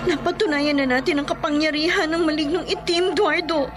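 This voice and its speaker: A woman's voice: sits high, steady tone, fairly quick, lightly acted. A woman playing a frightened, anxious wife in a horror radio drama, full of worry. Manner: fearful, worried. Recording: dramatic music behind the voice.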